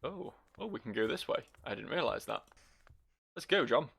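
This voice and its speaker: A man's voice: medium pitch, sing-song, moderate pace, vocal fry, fairly bright tone, slight background noise. A man giving casual, joking and sarcastic commentary as he plays a video game. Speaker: gaming video host.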